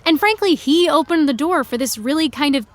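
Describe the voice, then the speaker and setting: A frustrated teenage fangirl with a high, youthful voice. She speaks earnestly and articulately, with indignant conviction, in the natural style of an audio drama. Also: expressive, brisk, a little hiss or hum. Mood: hesitant, defensive. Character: frustrated, opinionated high school senior fangirl.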